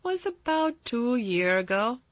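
A woman voicing a crabbed but tender old Yorkshire gardener, with a dry, slow, obstinate manner, in a storybook reading. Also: a little high, sing-song, dry recording, dull audio, voice pushed up. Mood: dry, fond.

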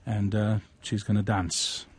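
A man hosting a radio show, talking casually and somewhat haltingly, often repeating words as he goes. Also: on the low side, flat delivery, husky, no echo. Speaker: radio show host and writer-performer. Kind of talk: casual on-air conversation.

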